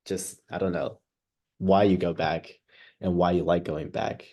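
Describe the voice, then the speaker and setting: A man's voice: sits low, level tone, a little slow, mellow. A man interviewing a student, asking casual questions that ramble with repeated starts.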